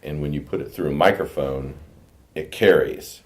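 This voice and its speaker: Booming very deep-voiced man chatting. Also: normal speed, darkish tone. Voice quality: big booming voice. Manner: laughs often, restarts, playful. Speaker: podcast host, conference and curriculum manager. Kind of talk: casual podcast chat.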